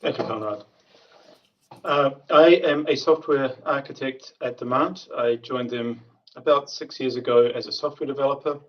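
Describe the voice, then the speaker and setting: A man giving a prepared tech conference talk in a measured way, with frequent filler sounds. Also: medium pitch. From New Zealand. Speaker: conference speaker, software architect. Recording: distant, dull remote call audio.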